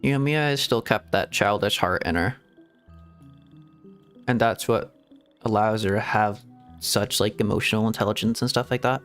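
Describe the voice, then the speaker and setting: A man streaming a video game, thinking aloud in a rambling, reflective way with hedges and soft laughs. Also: on the low side, normal speed, long pauses, faint music behind. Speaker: VTuber streamer. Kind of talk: casual gameplay commentary.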